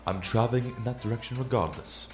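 A man's voice: medium pitch, fast, music in the background, natural voice. A man playing a suave, mysterious painter in a radio play, speaking with formal, gallant courtesy. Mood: suave, courteous.

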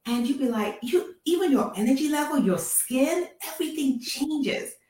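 Animated woman guest in echoey distant sound. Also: normal speed. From Nigeria. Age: 40s. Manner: animated storytelling with lively pitch. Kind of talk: casual interview chat.

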